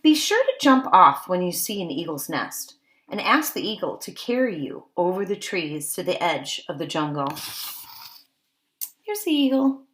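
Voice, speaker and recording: A woman's voice: lowish voice, very animated, moderate pace, fairly bright tone. A woman reading a picture book aloud to young children as a teacher, stopping to ask simple questions and make exclaiming asides.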